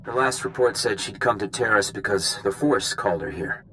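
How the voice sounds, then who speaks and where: An actor playing a dutiful young field agent in a video game, speaking crisply and professionally. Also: male voice, fairly low pitch, lively intonation, normal speed, sharp tone, a little hiss or hum, rough recording. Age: young adult. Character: eager, dutiful young male spy.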